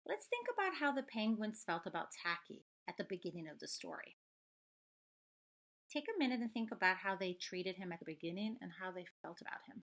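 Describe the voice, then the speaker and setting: Animated, bright-voiced woman teacher. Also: medium pitch, a little fast. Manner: expressive, addressing children with questions. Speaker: elementary school teacher. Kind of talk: children's read-aloud and lesson.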